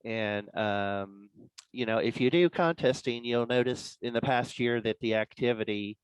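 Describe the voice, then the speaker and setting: A man giving an informal, explanatory presentation as a guest speaker on an online call, in a halting way. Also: mid-range voice, clear, resonant tone, no room sound, poor audio. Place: online club meeting.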